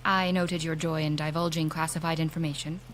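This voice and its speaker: An actress playing a literal-minded, dutiful agent in an audio drama, speaking in a formal, clinical deadpan. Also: female voice, deep voice, fairly quick, slight background noise, close to an everyday voice.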